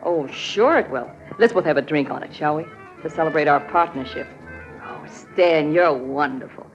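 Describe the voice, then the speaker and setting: An actress playing a sly accomplice who acts sweet and needy, coaxing and admiring, in a vintage radio drama. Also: female voice, sits low, normal speed, natural voice. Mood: sweetly admiring. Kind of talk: 1950s radio drama acting. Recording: muffled old radio recording, zither music.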